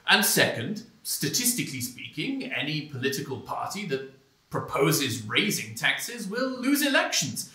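A smug male intellectual played by an actor lectures pompously in a satirical stage debate. His voice is fairly high and bright, with halting, oratorical phrasing, heard at a distance in a roomy space. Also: very animated, exaggerated voice. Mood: smugly dismissive. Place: small stone-walled theatre stage.

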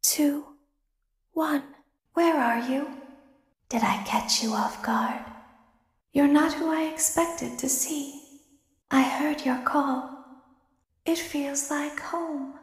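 A woman's voice: high voice, slow pace, long pauses, reverberant, in a large hall. A woman voicing a menacing animatronic clown girl in a horror game, speaking in a sly whisper.

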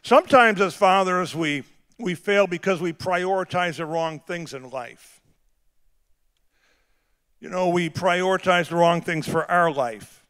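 A middle-aged male pastor with a big voice, preaching a sermon that swings from quiet, conversational asides to shouted, drawn-out emphasis. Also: a little high, clear, resonant tone. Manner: drawn-out emphatic phrasing on key words.

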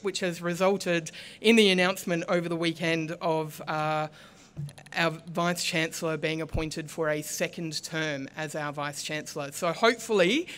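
Woman, middle-aged MC, smooth clear voice, formal outdoor ceremony address into lectern mics. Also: on the low side, fairly bright tone. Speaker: master of ceremonies. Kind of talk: formal welcome speech. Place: outdoor stage at a large ceremony. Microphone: lectern microphones.

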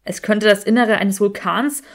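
A woman's voice: mid-range voice, steady tone, moderate pace, natural voice. A woman voicing a sardonic, grumbling young male wizard in an audiobook, dry and emphatic. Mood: urgent warning.

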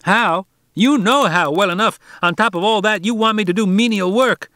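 A man voicing a proud, spoiled rich boy who talks in a high, whiny and indignant way. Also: lively intonation, brisk, fairly bright tone, no room sound, studio quality.

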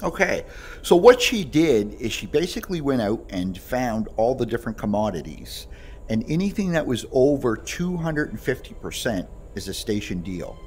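A man giving a casual, halting, conversational gameplay demonstration. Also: medium pitch, very animated, darkish tone, a little hiss or hum. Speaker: creator demonstrating his voice pack. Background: music behind the voice.

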